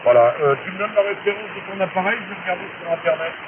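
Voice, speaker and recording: A man's voice: high voice, lively intonation, fast. A man talking as an amateur radio operator over shortwave, giving casual technical advice in a chatty, colloquial way. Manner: colloquial, chatty explaining, frequent tag words. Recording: narrow hissy noisy shortwave radio transmission.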